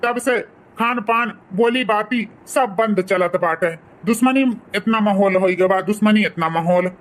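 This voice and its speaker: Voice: mid-range voice, a little slow, lightly acted. A performer voicing a weary, resigned old village father-in-law in a cartoon, with an Awadhi accent. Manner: resigned, pleading.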